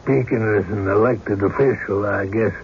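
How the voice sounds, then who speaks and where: An actor playing a calm, deferential small-town sheriff, plain-spoken and folksy, in a radio drama. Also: male voice, on the low side, normal speed, creaky. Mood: respectful. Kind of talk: 1970s radio drama acting. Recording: muffled, noisy old radio broadcast.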